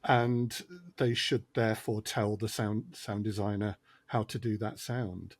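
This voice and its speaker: A man with a low, husky voice talks through his craft in a relaxed podcast chat. He is a thoughtful guest who hedges and restarts as he thinks, with a dry, wry humour, and his voice is recorded close and dry. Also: unhurried, no echo. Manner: thoughtful, wry, with frequent hesitations. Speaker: sound designer, podcast guest. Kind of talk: casual podcast discussion.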